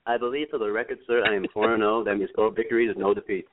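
A man's voice: lowish voice, steady tone, quick pace, a loud crowd, lightly acted. A man putting on a stiff, overly formal voice of a pompous man boasting, heard over a tinny phone-like line. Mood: mock-formal. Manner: stiff, overly formal mock-proper diction.

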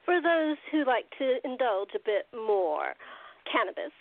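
A woman's voice: a little high, big pitch swings, a little slow. Woman as a sultry, teasing hostess diva. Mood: teasing, seductive. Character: flirtatious wealthy woman film star, wicked hostess. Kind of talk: amateur radio play acting. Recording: phone-like narrow sound, dry.

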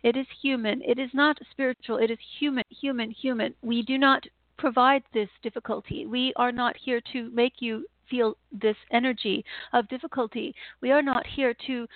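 A woman delivering a channeled spiritual message in a slow, halting, repetitive way, with sighs. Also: on the high side, steady tone, no room sound. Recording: tinny phone-like line, dry.

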